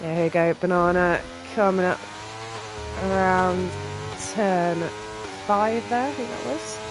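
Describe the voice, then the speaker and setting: A live sim racing commentator with a stuffy cold, reacting quickly and excitedly to lap times. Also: mid-range voice, background noise. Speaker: race commentator.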